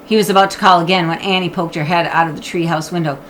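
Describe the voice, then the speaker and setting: A woman narrating a children's book in an expressive storytelling style. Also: on the low side, fast, a little hiss or hum. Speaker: audiobook reader.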